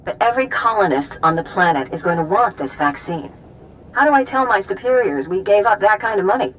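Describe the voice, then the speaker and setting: A woman's voice: mid-range voice, animated, a little hiss or hum, close to an everyday voice, poor audio. A woman playing a blunt, driven doctor. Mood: defiant. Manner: brisk, blunt, businesslike.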